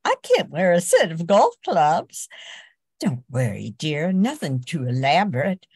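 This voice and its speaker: A woman's voice: low voice, huge pitch swings, a little slow, a little mellow, breathy edge, no echo, studio quality, full character voice. A woman playing a wheedling, shopping-loving wife in a comic old-time radio commercial. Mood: coaxing.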